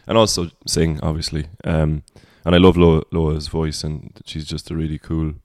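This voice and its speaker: This is a Cork Irish man with a deep baritone voice that sits very low. His delivery stays level, with little rise and fall. He sounds reflective and wanders through his thoughts in a casual podcast chat, recorded close with a dry sound. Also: level tone, moderate pace, darkish tone, dry recording. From Cork, Ireland. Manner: reflective, rambling. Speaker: singer-songwriter guest.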